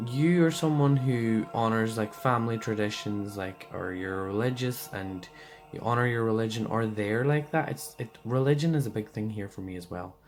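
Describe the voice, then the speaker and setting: A man giving a casual tarot card reading, hoarse and losing his voice, rambling loosely with lots of fillers. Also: medium pitch, a little mellow.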